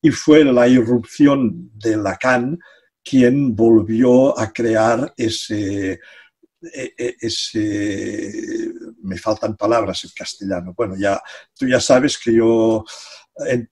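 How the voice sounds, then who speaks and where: A man's voice: fairly low pitch, fairly even intonation, takes it slowly, darkish tone, husky. An older man from Catalonia speaking Spanish with a Catalan accent on a video call from his home study, talking casually and hesitantly, often repeating words and laughing between phrases. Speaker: guest, psychology professor. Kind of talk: casual conversational interview. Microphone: video call webcam.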